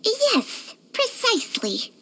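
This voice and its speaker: Haughty, high-pitched little snake. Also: female voice, wildly swooping pitch, slow, sharp tone, full character voice. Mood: curious, prim. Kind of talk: scripted video game character voice lines.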